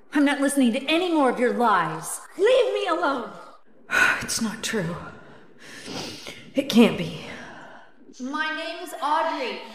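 A woman's voice: high-pitched, a bit bright, slight background noise, exaggerated voice. An actress playing an earnest, determined young woman trapped in an inky cartoon world in a video game, speaking conversationally and expressively. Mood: angry, distressed, then pleading. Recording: echoey hall reverb.

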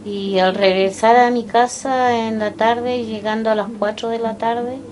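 An older Chilean woman recalling her life slowly and haltingly, pausing often to remember. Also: on the high side, steady tone, noisy background. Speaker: interviewee. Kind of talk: personal recollection. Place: indoors, small room by a window.

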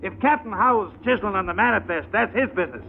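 A man's voice: high-pitched, expressive, fast, creaky. An actor playing a defiant, hot-tempered spaceship crewman in 1950s radio drama style. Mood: angry, defiant. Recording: noisy, narrow-band old radio recording.

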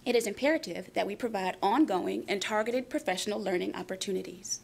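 A woman's voice: medium pitch, brisk, gravelly, a little hiss or hum. A woman giving a scripted narration for a school division's program presentation.

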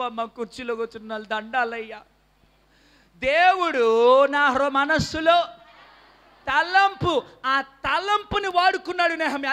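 Man, 30s, pastor, very high voice, animated sermon, handheld mic on church stage. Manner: animated preaching, quizzes the congregation, rises to shouts. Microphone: handheld microphone.

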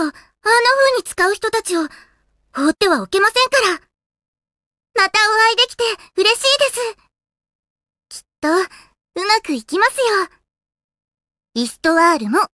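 A woman voicing a polite, gentle, earnest young girl spirit in a Japanese anime-style video game. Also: very high voice, dry recording, clean studio sound, full character voice. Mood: gentle, familiar. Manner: polite, earnest, soft-spoken courtesy.

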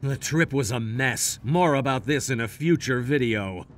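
A man voicing an earnest, loyal young gangster in an anime-style cartoon, presenting to viewers with animated delivery. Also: mid-range voice, moderate pace, slight background noise, close to an everyday voice. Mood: grim.

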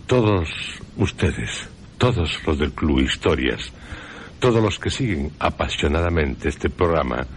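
A man with a Castilian Spanish accent presents dramatically on radio. His voice is extremely deep and slow, with a faint rumbling noise behind it.

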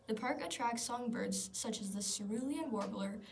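A woman's voice: a little high, normal speed, recorded from a distance. A teenage girl narrating a school project, reading aloud steadily. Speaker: student presenter.

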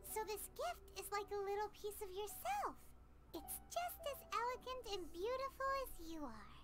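A woman voicing a tiny, excitable, childlike fairy companion in an anime game, squeaky and cute. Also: moderate pace, over loud music. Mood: cheerful. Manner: cute, third-person self-reference. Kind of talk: scripted anime game dialogue.

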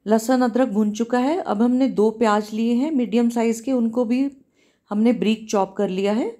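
Soft, mellow-voiced woman explaining a recipe. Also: on the high side, moderate pace. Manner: calm, instructive, repeats steps. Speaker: cooking channel host. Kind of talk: step-by-step recipe voice-over. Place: home kitchen.

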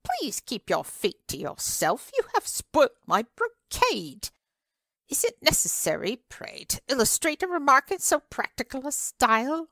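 A woman's voice: high voice, wildly swooping pitch, unhurried, fairly bright tone, dry recording, voice pushed up, exaggerated voice. A woman voicing an indignant, prim old lady scolding a dinner guest in a story. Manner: prim, exasperated.

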